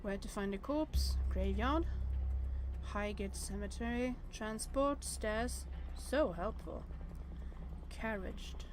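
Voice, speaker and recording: A woman game streamer reading a visual novel aloud with casual commentary, often hesitant and thinking out loud in fragments. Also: mid-range voice, slow, a little hiss or hum.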